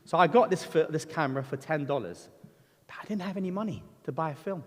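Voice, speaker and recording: A man's voice: a little high, fast talker. A middle-aged man from Lebanon preaching a sermon, telling his own story in an earnest, conversational way with frequent ums and restarts. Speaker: guest preacher. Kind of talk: sermon with personal testimony. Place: church hall. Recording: church hall reverb.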